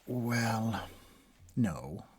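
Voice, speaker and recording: A world-weary old vampire doctor played by a man for an ASMR roleplay, speaking slowly in a very husky, breathy voice that often drops to a whisper. He is deadpan and sardonic, deeply bored with his endless duties, and pitched low. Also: full character voice. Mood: bored, dry.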